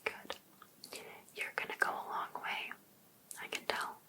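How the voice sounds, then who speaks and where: Woman acting as obsessive life coach, ASMR roleplay, breathy whisper, very slow, coaxing, possessive. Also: close to an everyday voice. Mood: pushy, coaxing. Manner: coaxing, deliberate, insistent.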